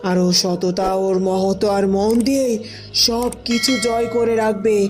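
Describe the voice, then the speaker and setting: A woman playing a vengeful, menacing sorceress in a fairy-tale cartoon, speaking with deliberate emphasis. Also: medium pitch, steady tone, soft background music, close to an everyday voice. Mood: scheming, resolute. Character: vengeful, menacing sorceress, mother of tantric magic.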